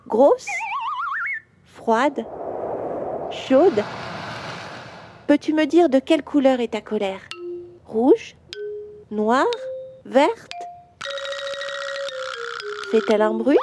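A woman voicing a caring little girl in a children's audio story, talking softly and patiently. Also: sits high. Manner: soft, patient, guiding.

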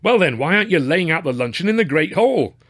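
A man's voice: on the high side, sing-song, fast, fairly bright tone, no echo, clean studio sound, pitched above the performer's own voice, heavily acted. A man voicing an irate, blustering father, a strict college head porter who scolds in indignant questions in an audiobook. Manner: indignant, haranguing with rhetorical questions. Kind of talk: audiobook character voice.

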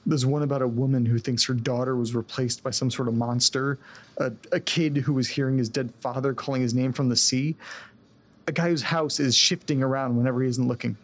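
An actor playing a distressed, desperate man in an audio drama, speaking earnestly and haltingly, often breaking off mid-sentence. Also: medium pitch, fairly even intonation, natural voice. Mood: haunted, uneasy. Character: distressed man recounting a haunted-house ordeal, desperate to get home. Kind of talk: audio drama acting, naturalistic.

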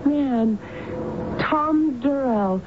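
A woman's voice: sits high, drawn-out words. An actress playing a troubled, genteel married woman in a radio drama, speaking carefully and politely. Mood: entranced, weary. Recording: dull, noisy vintage radio broadcast.

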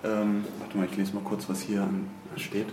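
A man's voice: lowish voice, monotone, moderate pace, darkish tone. A man hosting a podcast on location, chatting casually and playfully with a hesitant, informal delivery. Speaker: podcast host. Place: indoor office corridors and rooms. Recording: roomy echo with faint background noise.

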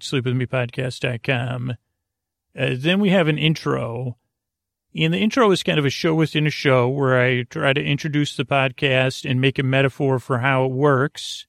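A man hosting a sleep podcast in a creaky voice, delivering a soothing, meandering bedtime monologue that halts and restarts mid-phrase. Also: medium pitch, a bit bright, dry recording, clean studio sound. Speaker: podcast host.

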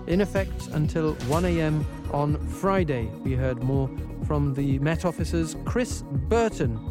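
A male news podcast host speaks in a fairly high voice. Music plays clearly behind him as he presents in a broadcast style. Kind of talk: scripted news introduction and studio conversation.

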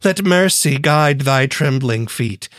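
An actor playing a solemn bard who narrates a dark tale in archaic rhyme with a grave, theatrical manner. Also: male voice, medium pitch, lively intonation, slow pace, no echo, studio quality. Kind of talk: scripted verse narration.

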